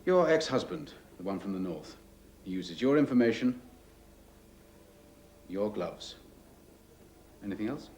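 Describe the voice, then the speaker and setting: A man's voice: lowish voice, big pitch swings, a little slow, long pauses, darkish tone, slight background noise. An actor playing a curt male police officer who questions a woman bluntly. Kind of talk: 1980s TV drama acting.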